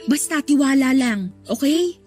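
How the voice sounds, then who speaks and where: A woman's voice: sits high, lively intonation, a little slow, a little mellow, soft background music. An actress in a radio drama playing a supportive female best friend who reassures and encourages. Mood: encouraging. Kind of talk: Tagalog radio drama acting.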